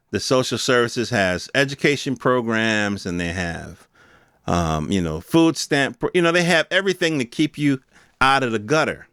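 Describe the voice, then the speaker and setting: A man's voice: mid-range voice, a bit bright, clear, resonant tone, no room sound. American man ranting in a very dry recording. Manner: emphatic, repetitive, colloquial rhetorical ranting. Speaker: podcast host. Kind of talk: unscripted opinion commentary on the news.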